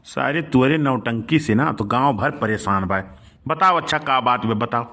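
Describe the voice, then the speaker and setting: A man's voice: medium pitch, normal speed, pushed and bright. A man playing a middle-aged village uncle in a comic cartoon, grumbling and rustic, with an Awadhi accent. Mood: scolding, exasperated. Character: scolding village uncle, easily blackmailed, henpecked and wheedling.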